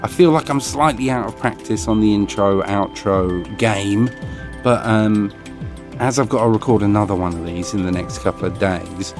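A man's voice: lowish voice, moderate pace, over music. A man with a crumpled, papery voice, closing his podcast in a casual, rambling, self-deprecating way. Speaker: podcast host.

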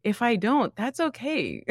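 A woman's voice: a little high, huge pitch swings, a little slow, no room sound, studio quality. An American woman in her thirties chatting casually as a podcast co-host, with a blunt, wry way of talking. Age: 30s. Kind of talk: casual chat.

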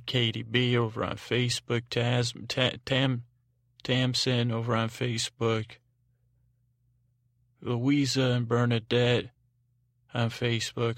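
Man, podcast host, gravelly fry, dry close sound, meandering informal monologue, halting and self-interrupting. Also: medium pitch, fairly bright tone, no room sound.

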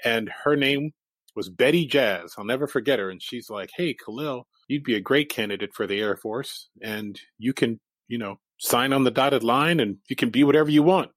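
A man's voice: mid-range voice, normal speed, no room sound. A man in his forties, a native Japanese speaker, a guest in an interview who tells his life story candidly and laughs easily.

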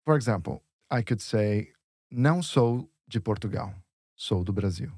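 A man's voice: fairly low pitch, lively intonation, moderate pace, darkish tone, slightly rough, no echo, clean studio sound. A man teaching Portuguese in a lesson dialogue, explaining patiently step by step with examples.

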